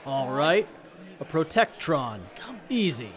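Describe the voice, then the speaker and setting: A man's voice: on the high side, sing-song, takes it slowly, over music. A man playing an edgy, irritable raider tech in an audio drama, talking coarsely and with exasperation. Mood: irritated. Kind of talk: post-apocalyptic audio drama acting.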